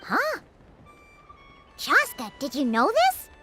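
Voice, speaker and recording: A woman voicing a small, excitable childlike girl companion in a video game, with a squeaky, exclamatory delivery. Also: slow pace, exaggerated voice. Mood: surprised. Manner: exclamatory, wide-eyed. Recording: loud music under the voice.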